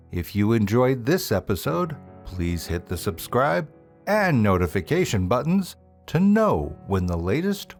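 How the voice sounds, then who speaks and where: A man narrating a scripted ghost story as a storyteller and host, with deliberate, dramatic phrasing and drawn-out words. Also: lowish voice, a little mellow. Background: music.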